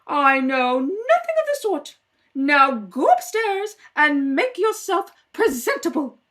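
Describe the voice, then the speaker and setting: Radio play: domineering older aunt played by a woman, haughty, imperious, clipped delivery, animated pitch. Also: takes it slowly, heavily acted. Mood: stern, commanding.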